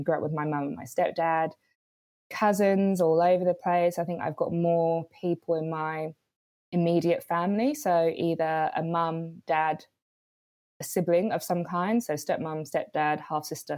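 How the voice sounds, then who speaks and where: A woman raised in London, with Swahili as her first language, speaks as a podcast guest. Her voice sits low, and the sound is dry and close. She talks casually and animatedly, laughing now and then as she tells stories. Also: level tone, normal speed, darkish tone, no room sound. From London, England. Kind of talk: casual conversation. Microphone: video call.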